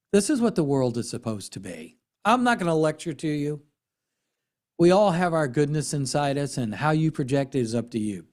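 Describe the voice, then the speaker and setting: A middle-aged American man speaks in a somewhat high voice with wide swings in intonation. He gives impassioned commentary, earnest and emphatic, leaning on repeated phrases and deliberate dramatic pauses. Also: darkish tone. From United States. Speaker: political commentary host and military veteran.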